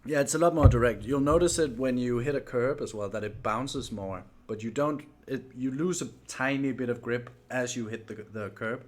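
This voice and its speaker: A man's voice: mid-range voice, normal speed, few pauses. A man hosting a sim racing livestream in casual, chatty talk, polite and a little self-deprecating. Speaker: livestream host and sim racer. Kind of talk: casual livestream gaming chat.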